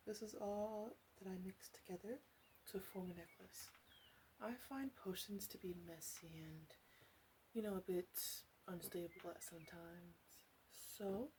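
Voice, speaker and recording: Casting: woman as a blunt, flirtatious witch for ASMR roleplay; unhurried, soft, whisper-prone delivery, faint background noise. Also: lowish voice. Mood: soft, chatty. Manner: soft-spoken, hesitant, often dropping into whispers.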